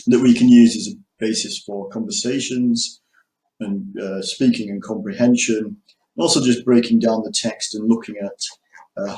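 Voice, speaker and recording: A man from the UK introducing himself as an English teacher and walking through his lesson materials, measured, with frequent ums and restarts. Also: lowish voice, darkish tone, roomy sound, low-quality recording. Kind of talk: self-introduction and screen walkthrough.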